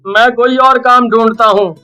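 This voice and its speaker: A man's voice: very high-pitched, steady tone, moderate pace, bright, forceful tone, clean tone, soft background music. A man playing a stubborn, easygoing young village shopkeeper who argues and grumbles in a dramatised audio story. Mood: defensive, annoyed.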